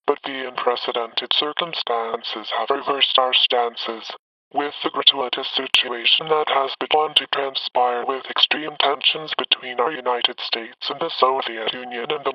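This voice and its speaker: A man's voice: mid-range voice, far from the mic, close to an everyday voice. A synthetic man's voice playing a grave American president giving a formal, stilted address to the nation. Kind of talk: formal scripted political address. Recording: dull lo-fi radio-like broadcast.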